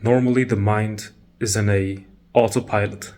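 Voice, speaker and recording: A man leading a guided meditation talk, calm and measured, pausing between short phrases. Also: fairly low pitch.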